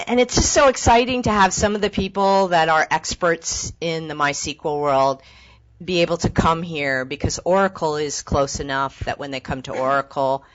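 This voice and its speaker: A woman's voice: deep voice, moderate pace. Enthusiastic woman introducing a speaker. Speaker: meetup organizer. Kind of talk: casual introduction. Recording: dull lo-fi audio.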